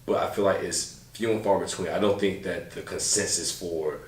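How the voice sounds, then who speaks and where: A man's voice: low voice, fairly even intonation, normal speed. A man co-hosting a podcast, talking casually in a laid-back, slangy way with lots of fillers. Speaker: podcast co-host. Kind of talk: casual chat. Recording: roomy, a bit far from mic.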